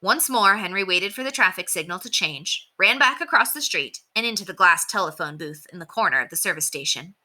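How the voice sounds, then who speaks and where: A woman's voice: lowish voice, animated, brisk, hardly any pauses, pushed and bright. A woman reading a children's chapter book aloud as a storyteller, in scripted narration.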